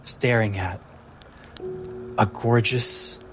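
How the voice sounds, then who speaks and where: A man working as game master, telling a dramatic fantasy scene in measured phrases. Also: lowish voice, fairly even intonation, a bit bright, slight background noise. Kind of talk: dramatic fantasy tabletop narration. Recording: music behind the voice.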